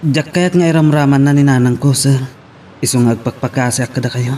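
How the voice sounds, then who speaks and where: A man's voice: mid-range voice, fairly quick, a little mellow, close to an everyday voice. A man acting a respectful, pleading heartbroken young man in an Ilocano audio drama. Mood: apologetic. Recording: background noise with vehicle ambience.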